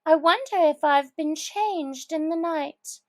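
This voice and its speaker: High-pitched, dreamy, fretful little English girl. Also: slow. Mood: bewildered. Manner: theatrical, exclaiming, musing to herself.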